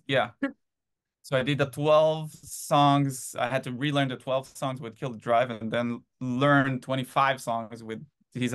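A man in his thirties from Israel, telling his story in a casual interview over a video call from his home, rambling with lots of fillers. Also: mid-range voice. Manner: rambling, animated anecdotes with many fillers. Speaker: band drummer, guest. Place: home room.

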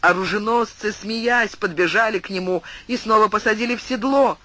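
A man's voice: high voice, moderate pace, bright, forceful tone. A man narrating a classic tale as an audiobook, reading with dramatic storytelling and wide swings in emphasis. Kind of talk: expressive literary narration.

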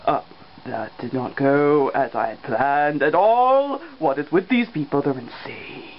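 A boastful vampire showman, acted out by a woman, drawing his words out grandly with big swings of pitch. He slips into flustered, exasperated asides, and the amateur comedy recording is muffled and lo-fi. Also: animated. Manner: theatrical, drawn-out announcing with flustered asides. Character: boastful, exasperated male vampire talk show host. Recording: muffled lo-fi audio, faint noise.

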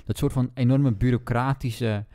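A man's voice: medium pitch, fairly even intonation, normal speed, dark tone. A man chatting casually as a podcast co-host, retelling a story and acting out bits of dialogue.

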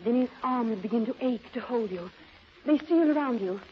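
A woman's voice: fairly high pitch, lively intonation, moderate pace, lightly acted. Dull, faintly noisy 1930s radio sound carries a woman playing a worldly, flirtatious secretary. She is condescending and theatrical, and her melody swings widely as she teases. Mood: sultry, teasing. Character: sly, worldly, flirtatious female former secretary. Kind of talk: 1930s radio drama acting. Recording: muffled, noisy old 1930s radio recording.

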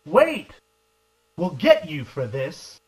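A man's voice: on the high side, wildly swooping pitch, slow, exaggerated voice. A man playing a determined government agent in an arcade zombie game, with stilted, declamatory delivery. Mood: urgent. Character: determined male government agent hunting a villain among zombies. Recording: echoey, distant game voice clips.

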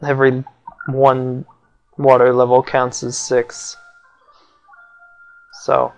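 A man's voice: medium pitch, monotone, slow, creaky, a little mellow, clean tone, rough recording. A young man giving casual, self-mocking commentary over a video game, with his voice feeling a little off. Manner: wry, self-mocking, mutters asides. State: voice feels off, maybe getting sick. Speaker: let's play commentator. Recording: faint game music behind.

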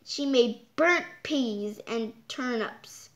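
A child reading a picture book aloud, halting and stumbling over words, with exclaimed side comments. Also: mid-range voice, a bit bright. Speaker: storytime reader. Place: indoors, hands holding book before the camera.

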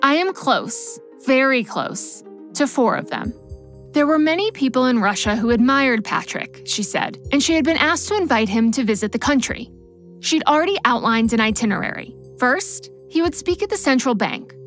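A woman delivering scripted narration for a documentary podcast in a measured storytelling manner. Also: high voice, very animated, a bit bright, faint music behind.

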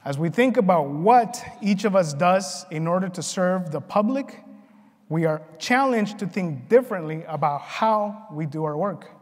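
High-pitched man giving a speech, reverberant hall. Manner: earnest, deliberate, measured phrasing. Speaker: keynote speaker. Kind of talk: prepared conference keynote speech. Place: large conference ballroom, on stage. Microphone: lapel mic at a podium.

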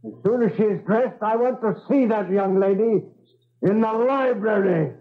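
A man's voice: sits high, a little slow. An actor playing a stern, bullying colonial father in a radio drama, curt and commanding. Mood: stern, impatient. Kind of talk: 1970s radio drama acting. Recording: muffled, thin 1970s radio recording.

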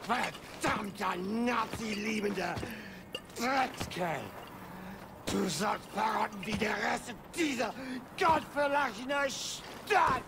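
An actress playing a bitter, distraught German townswoman in a video game, with a creaky voice. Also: fairly high pitch, slow, background noise. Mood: furious.

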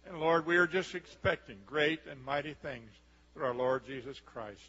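An older man, a pastor, leading a solemn, measured prayer before a congregation. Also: fairly high pitch, pushed and bright, slightly rough. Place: large church sanctuary stage. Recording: lo-fi camcorder recording in a reverberant hall.

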